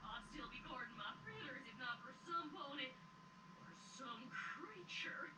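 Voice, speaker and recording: A woman's voice: high-pitched, expressive, measured pace, loud music behind the voice. A woman playing a feisty, suspicious female pony in an audio drama, accusing and sarcastic, through distant, echoey, noisy playback. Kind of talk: fan audio drama acting.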